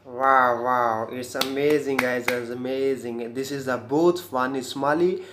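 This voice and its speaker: A man from India, reacting to music videos with short excited exclamations and simple, broken English. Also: medium pitch, a little slow. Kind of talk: casual music reaction commentary. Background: faint music playing.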